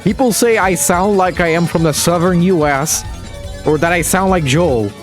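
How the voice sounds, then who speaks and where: A man's voice: high-pitched, normal speed, soft background music. A man giving casual live commentary on a game replay stream, chatting with viewers and laughing often.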